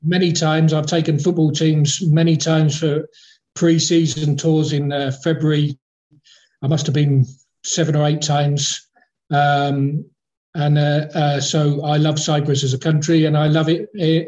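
A man's voice: on the high side, little pitch movement, a little slow, a little mellow, lo-fi sound. A man, a football club executive, talking as a guest in a casual interview, rambling and hesitant with many fillers and restarts. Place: in the capital of Kazakhstan.

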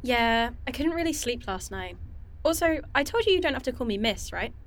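A woman's voice: a little high, expressive, a little fast, natural voice. An actress playing a curious, wry young woman scientist in an audio drama, speaking casually and sardonically. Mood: sheepish, friendly.

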